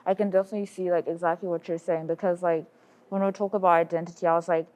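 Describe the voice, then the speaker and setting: A woman's voice: lowish voice, level tone, quick pace, a little mellow. A woman from Zimbabwe, a student, speaking in a casual panel discussion in a rambling way, filling nearly every phrase with "like". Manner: rambling, constant "like" fillers. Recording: dull lo-fi sound.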